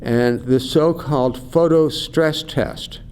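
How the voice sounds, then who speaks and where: An older man, an eye researcher, explaining slowly and carefully in an interview, acting out doctors and patients as he goes. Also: darkish tone, clean tone. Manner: measured, acts out doctor and patient. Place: conference venue. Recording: faint low rumble behind.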